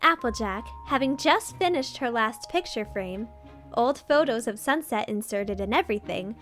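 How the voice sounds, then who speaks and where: A woman's voice: a little high, animated, normal speed, fairly bright tone, soft background music. A woman reading a fan fiction story aloud as narrator, in a scripted storytelling style.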